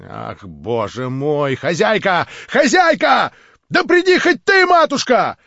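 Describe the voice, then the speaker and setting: A man voicing an imperious older noblewoman who shouts and commands in a frantic voice, recorded dry and dull. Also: sits high, big pitch swings, slow pace, vocal fry, no room sound, pitched above the performer's own voice, heavily acted. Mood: frantic shouting. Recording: dull dry studio sound.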